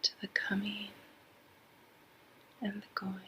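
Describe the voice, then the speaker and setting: A woman guiding a sleep meditation in a breathy half-whisper, soothing and measured, with long pauses. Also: mid-range voice, completely flat delivery, bright, forceful tone, slight background noise. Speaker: meditation guide. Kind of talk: slow guided sleep meditation.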